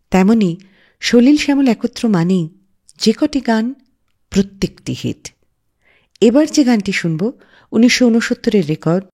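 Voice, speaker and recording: A woman hosting a radio music show, narrating a script in a measured, expressive storytelling way. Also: medium pitch. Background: music playing under the voice.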